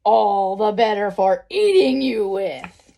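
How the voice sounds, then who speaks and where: A woman's voice: medium pitch, sing-song, slow pace, creaky, mellow, heavily acted. A woman voicing a sneaky, hungry male wolf with a growling, menacing manner in a children's storybook. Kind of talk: children's storybook read-aloud.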